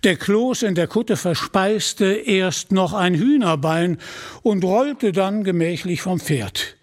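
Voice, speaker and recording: A man reads a scripted storybook narration in a measured way. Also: high-pitched.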